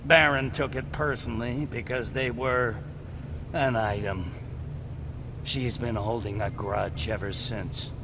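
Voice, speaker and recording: A man's voice: mid-range voice, steady tone, takes it slowly. A man acting a video game role as an earnest resistance scientist, fervent yet resigned. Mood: sombre, regretful. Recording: clearly noisy game soundscape.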